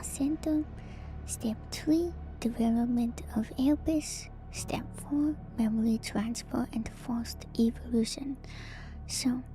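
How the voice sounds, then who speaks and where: A woman doing a hushed, intimate ASMR stream, reading lore in a soft breathy whisper and adding casual asides to her viewers. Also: fairly high pitch. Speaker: streamer host. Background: music.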